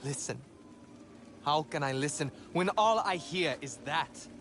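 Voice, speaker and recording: An actor playing a wry, eccentric old alien hermit in a video game, speaking in poetic, whimsical phrases. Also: male voice, a little high, expressive, measured pace, a bit bright, a little hiss or hum. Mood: troubled. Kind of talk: video game cutscene acting.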